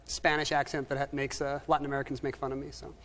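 A man, the reigning quiz champion, calling categories and answering briskly and modestly. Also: mid-range voice, little pitch movement, a bit bright.

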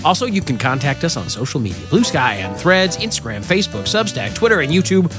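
A man co-hosting a talk show in casual, jokey banter, his voice gravelly from a cold. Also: a little high, a little fast, over music. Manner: jokey, rambling, lively. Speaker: co-host of a political talk show.

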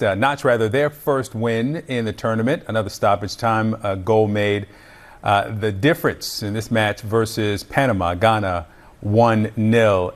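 Man hosting a sports news segment. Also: fairly low pitch. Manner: conversational, with fillers. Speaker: news host. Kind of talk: broadcast news interview.

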